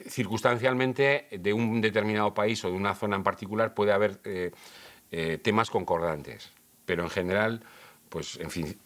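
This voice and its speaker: A middle-aged screenwriting teacher speaking thoughtfully in an interview. His voice is fairly low. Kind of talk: interview answer.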